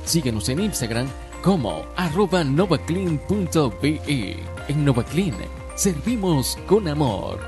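Give me a man announcer reading a polished radio commercial, slightly high and lively, with music underneath. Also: unhurried. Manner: polished, persuasive announcer delivery. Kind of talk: scripted radio ad read. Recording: radio ad over loud music. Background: background music.

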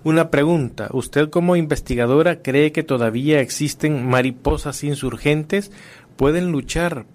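A man's voice: fairly high pitch, moderate pace, darkish tone. A man interviewing a guest, asking questions and reading introductions in a formal way.

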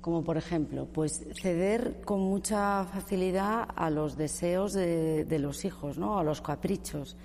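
Gravelly-voiced woman, low and mellow. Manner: measured, filler-heavy, tag questions. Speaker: panel guest. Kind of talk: discussion panel. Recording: reverberant room with faint noise.

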